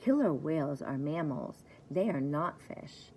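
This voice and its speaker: A woman with a low, creaky voice reads a children's book at a very unhurried pace. She speaks like a preschool teacher at story time, spelling things out simply and repeating key words. Faint noise sits behind her. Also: lively intonation, mellow, rough recording. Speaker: pre-K teacher reading aloud.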